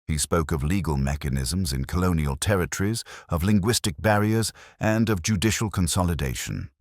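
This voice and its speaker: Very deep-voiced man narrating, dramatic swings. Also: expressive, moderate pace, dry recording. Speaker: audiobook narrator. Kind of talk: scripted first-person detective story narration.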